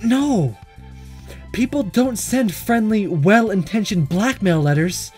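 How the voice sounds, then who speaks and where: A let's player voicing an anxious, defensive high school girl from a visual novel, halting and insistent, over game music. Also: animated, a little mellow. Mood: indignant.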